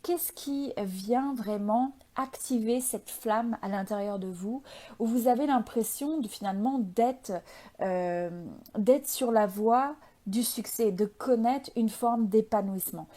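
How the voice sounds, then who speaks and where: A woman's voice: fairly high pitch, takes it slowly, warm tone. A woman giving an unscripted spiritual tarot reading, reflective and hesitant as she searches for her words. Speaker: tarot reader, channel host.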